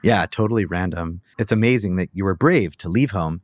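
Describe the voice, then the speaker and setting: A man's voice: low voice, fairly quick, no echo, studio quality. A man hosting a podcast, enthusiastic and casual, with lots of "like" and "you know". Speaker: podcast host.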